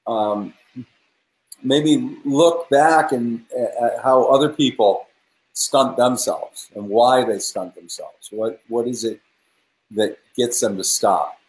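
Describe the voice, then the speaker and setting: A man's voice: medium pitch, slow pace, darkish tone. A man, a musician guest telling his story earnestly over a webcam from a room at home. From New England. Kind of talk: casual video-call interview. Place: home room with guitars, indoors. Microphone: video call webcam. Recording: roomy webcam audio.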